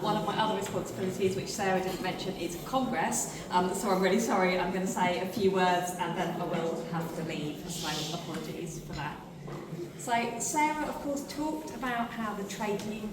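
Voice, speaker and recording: British woman speaking at a conference panel in a reverberant hall. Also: medium pitch. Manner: brisk, apologetic, uses fillers. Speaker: union assistant general secretary, panel speaker. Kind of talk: conference speech. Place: conference meeting room. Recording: echoey hall, voice from far off, noisy.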